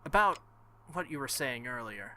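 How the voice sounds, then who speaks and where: A man's voice: mid-range voice, very animated, normal speed, bright, forceful tone. A man voicing a stubborn, protective, hot-headed young man from a visual novel. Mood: earnest, tense.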